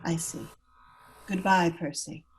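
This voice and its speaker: A woman's voice: fairly low pitch, steady tone, slow, slightly rough, exaggerated voice, rough recording. A woman playing a proud, unhappy, neglected aristocratic wife in an amateur radio play read, earnest and wounded. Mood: wounded, wistful.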